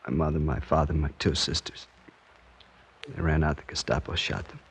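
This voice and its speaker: An actor playing an earnest, deferential young French resistance fighter with a French accent and a husky voice, in 1940s radio drama style. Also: male voice, very deep voice, normal speed, slight background noise. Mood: grieving, vengeful. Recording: old 1940s radio broadcast.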